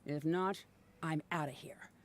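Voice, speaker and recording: A woman's voice: low voice, fairly even intonation, unhurried, a little hiss or hum. An actress playing a curt, scornful and irritable woman researcher in an anime video game. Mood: irritated, dismissive. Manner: curt, sarcastic.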